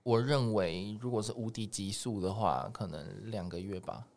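A man with a Taiwanese accent chatting casually as a guest music producer, telling things in an animated way and quoting people in dialogue. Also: on the low side, moderate pace, creaky. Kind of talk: casual podcast interview.

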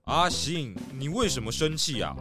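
A man's voice: on the high side, sing-song, moderate pace, over music. A man playing a cheerful, good-natured big grizzly bear in a children's audio drama. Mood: earnest, kindly.

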